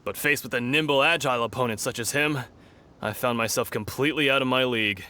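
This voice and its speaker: Gracious, formal heavyweight fighter for a game, voiced by a man; bright, forceful tone. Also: mid-range voice, quick pace, natural voice. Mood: courteous. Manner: formal, polite, a little theatrical. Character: big, courtly male fighter, gracious.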